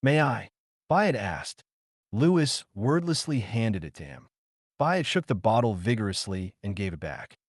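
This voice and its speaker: A man with a fairly low voice narrates a scripted audiobook. His intonation moves up and down a great deal, with expressive storytelling and clear enunciation. The sound is close and dry. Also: unhurried, dry recording. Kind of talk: scripted narration, reading a children's biography aloud.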